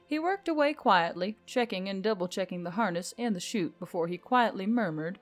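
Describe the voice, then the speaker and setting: A woman's voice: mid-range voice, animated, a little fast. A woman reading scripted fiction as an audiobook narrator. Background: music.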